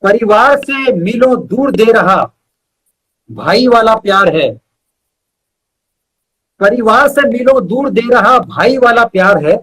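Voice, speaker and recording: A man's voice: extremely high pitch, animated, slow, long pauses, a bit bright, rough recording. A man reciting poetry as a guest poet, repeating lines for emphasis, with short asides to listeners.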